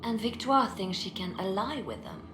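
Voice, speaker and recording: An actress playing a guarded, ruthless female spymaster in a fantasy game, with a measured, cutting delivery. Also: medium pitch, lively intonation, natural voice. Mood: hard, resolute. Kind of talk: dramatic fantasy game acting. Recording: roomy echo under background music.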